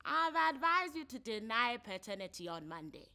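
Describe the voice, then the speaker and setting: A woman's voice: a little high, very animated, normal speed, bright, forceful tone, exaggerated voice. A woman with a South African accent voicing an exasperated male friend who gives blunt advice in a story reading.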